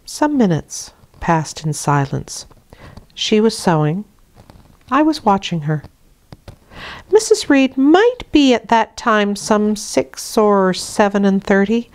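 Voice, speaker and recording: A woman reading a classic novel aloud as dramatic narration, with measured, deliberate phrasing. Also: mid-range voice, animated, a little mellow. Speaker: audiobook reader.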